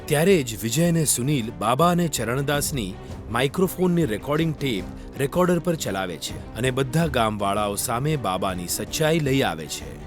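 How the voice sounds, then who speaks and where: A man's voice: mid-range voice, lively intonation, normal speed. A man narrating a scripted moral story for children. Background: faint music.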